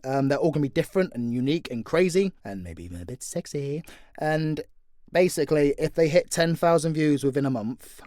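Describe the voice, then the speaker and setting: A man's voice: on the high side, lively intonation, brisk, dark tone, no room sound, studio quality. A man chatting casually and off the cuff as an audio creator answering questions, blunt, informal and sweary. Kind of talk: casual, unscripted Q&A chat.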